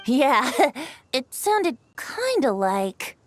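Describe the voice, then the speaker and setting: A woman playing a youthful, playful male bard in an animated video game, telling things in a lighthearted, theatrical way, with music behind. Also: high-pitched, drawn-out words, slight background noise, full character voice. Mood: playful, nostalgic.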